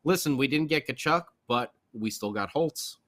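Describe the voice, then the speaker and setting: A man co-hosting a hockey podcast, talking in an animated, slangy way like a fan chatting casually. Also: mid-range voice, normal speed, a crowd in the background. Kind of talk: casual sports fan chat.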